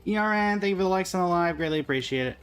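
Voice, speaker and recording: A man's voice: high-pitched, expressive, rapid-fire, fairly bright tone, clear, resonant tone. Man casually commentating a game over music. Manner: talks to himself and to game characters in short remarks. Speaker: game livestreamer.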